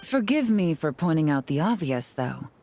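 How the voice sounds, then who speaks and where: A woman's voice: low voice, big pitch swings, moderate pace, mellow, slight background noise. A woman playing a cool, calculating strategist in a video game, composed and firm in her delivery.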